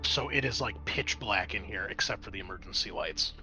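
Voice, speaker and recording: A man's voice: fairly low pitch, fairly quick, pushed and bright, rough recording. A man acting as game master, explaining a tabletop roleplaying scene in a casual, conversational way.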